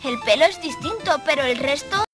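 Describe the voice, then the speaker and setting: A woman's voice: sits high, expressive, normal speed, music in the background. A woman voicing a bold, stubborn, curious jungle boy in a cartoon. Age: child figure.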